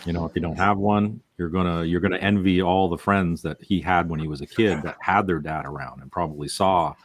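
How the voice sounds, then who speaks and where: A middle-aged man with a very low, rough-edged voice. He chats casually on a panel in a blunt, colloquial way. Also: steady tone, fairly quick. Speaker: panelist in a book discussion. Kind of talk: casual chat. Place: indoor room, video call.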